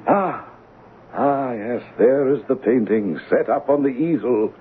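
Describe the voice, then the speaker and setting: A man acting in a radio drama as an eager, anxious swindler, excitable and effusive, prone to stammering. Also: mid-range voice, measured pace, natural voice. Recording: tinny, faintly hissy 1970s radio recording.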